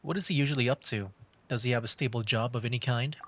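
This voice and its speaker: A man's voice: medium pitch, fast, a bit bright. A man streaming a video game, giving casual playthrough commentary and reading dialogue aloud. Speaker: gaming streamer.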